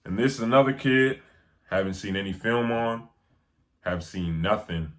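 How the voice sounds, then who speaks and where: A man's voice: fairly low pitch, animated, moderate pace, fairly bright tone. A young man in his twenties hosting a basketball breakdown, talking casually to camera in a small room, rambling with many fillers and restarts. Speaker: basketball film analyst host.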